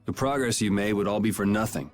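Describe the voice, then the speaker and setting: An actor playing a laid-back, sardonic young rebel in a video game, speaking casually and dryly. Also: male voice, fairly low pitch, fast talker, soft background music, natural voice. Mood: cool, supportive. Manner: casual, wry, dry.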